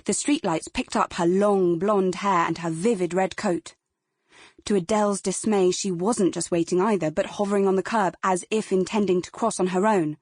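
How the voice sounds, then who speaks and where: A woman's voice: mid-range voice, fairly even intonation, moderate pace, darkish tone, no room sound, studio quality. A woman with a British accent narrating dramatic fiction as a scripted audiobook.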